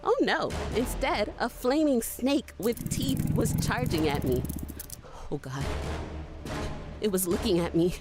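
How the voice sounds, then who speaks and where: A woman's voice: mid-range voice, lively intonation, measured pace, over music, lightly acted. A young woman playing a gutsy, foul-mouthed monster fighter who narrates a horror audio drama in a breathless, conversational way. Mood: horrified. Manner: sweary, breathless, conversational first-person storytelling.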